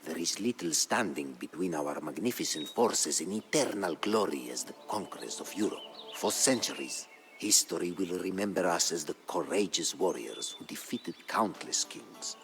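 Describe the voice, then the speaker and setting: A very deep old war chronicler. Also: male voice, expressive, creaky, music in the background. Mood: proud. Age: older. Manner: grave, measured storytelling. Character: aged solemn Umayyad chronicler of war campaigns, male. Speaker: narrator. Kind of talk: dramatic scripted storyline narration.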